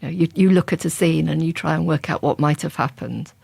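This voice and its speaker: Gravelly, low-voiced woman author. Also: quick pace, a little mellow, no room sound. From England. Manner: reflective and conversational, with frequent restarts. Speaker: crime writer. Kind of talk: interview guest.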